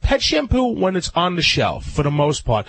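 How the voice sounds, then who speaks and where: A man's voice: a little high, huge pitch swings, normal speed, a bit bright, dry recording. A man with a nasal, congested voice who is a guest dog groomer, giving casual advice in a chatty, rambling way with many fillers. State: congested from a cold.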